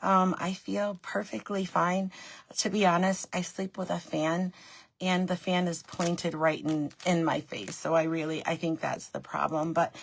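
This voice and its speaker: A middle-aged woman with a slightly raspy, scratchy voice, chatting as she hosts a live craft tutorial from her home craft room and greeting viewers by name. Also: fairly low pitch, level tone, measured pace. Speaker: craft demonstrator and host.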